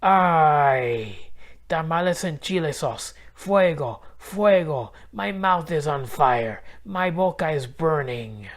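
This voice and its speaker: A man's voice: on the high side, animated, takes it slowly. A man voicing a sly, hungry male coyote villain in a children's storybook read-aloud. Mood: pained howling.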